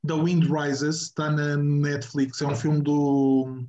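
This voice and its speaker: A man's voice: on the high side, monotone, slow, warm tone. A man, co-hosting a casual podcast chat and recommending a film, talking with many fillers and restarts. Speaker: podcast co-host. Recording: echoey, muffled room with faint noise.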